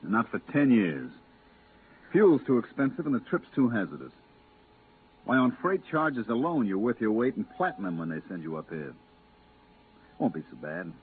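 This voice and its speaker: An actor playing a stern, weary moon-base pilot with a clipped, authoritative manner, in a 1950s radio drama. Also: male voice, medium pitch, big pitch swings, moderate pace, pauses often, lightly acted. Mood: matter-of-fact. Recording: tinny, hissy 1950s radio recording.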